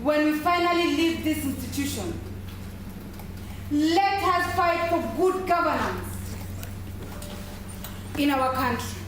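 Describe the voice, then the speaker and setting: A woman's voice: high voice, lively intonation, slow pace, pauses often, noisy background. A woman playing an earnest student orator in a stage play, rallying young people with emphatic, declamatory speech. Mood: earnest, rousing. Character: earnest young student orator urging fellow youths to fight corruption. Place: stage play in an echoing hall. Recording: echoey hall from far off, music behind.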